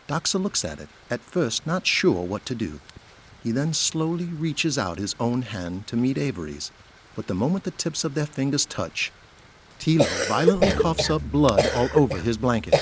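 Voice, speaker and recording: A man giving scripted narration as the narrator of an audio drama. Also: medium pitch.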